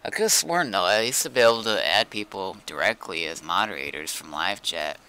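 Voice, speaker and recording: A man with a deep, gravelly voice that comes through clearly. He talks casually on a game livestream. He tends to ramble and think out loud. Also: expressive, normal speed, rough recording. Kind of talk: casual livestream chat while gaming.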